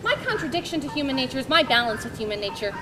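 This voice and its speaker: A woman's voice: high voice, expressive, very fast. A woman playing a lofty male hermit philosopher in a homeschool dramatic reading, solemn and dismissive. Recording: loud background noise.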